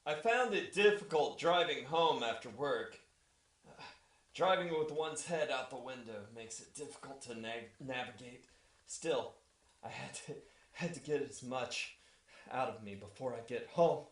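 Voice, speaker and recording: A man's voice: medium pitch, animated, measured pace. A man telling a scripted comic story in the first person, with a mock-formal bedtime storyteller delivery. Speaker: storyteller, webcast regular. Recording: roomy, echoey voice recording.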